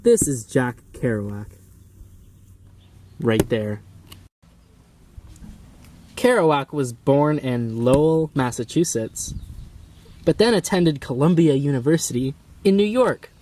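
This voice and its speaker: A man's voice: mid-range voice, lively intonation, slow, long pauses, mellow, slight background noise. A young man presenting an amateur news report. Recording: distant mic, music behind. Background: music.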